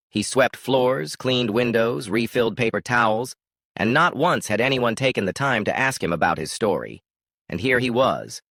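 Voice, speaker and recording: A man's voice: medium pitch, a bit bright, no echo, studio quality. A man narrating a scripted story in a dramatic storytelling manner.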